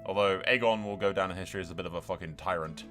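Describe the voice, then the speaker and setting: A man's voice: low-pitched, racing, fairly bright tone, soft background music. A man giving casual, blokey game commentary, swearing freely and addressing his viewers. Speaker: gaming video host.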